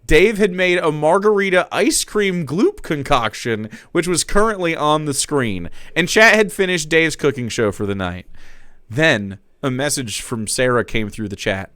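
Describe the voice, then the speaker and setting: A man streamer giving a dramatic reading with wry, deadpan asides. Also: a little high, unhurried, no room sound.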